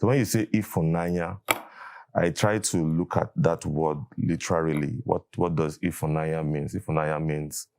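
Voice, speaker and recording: A man's voice: very deep voice, steady tone, normal speed, gravelly, darkish tone. A man with a Nigerian English accent whose first language is Igbo, a catechist guest on a talk show, speaking thoughtfully and hesitantly. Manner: thoughtful, hesitant, with fillers and restarts. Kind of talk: conversational interview.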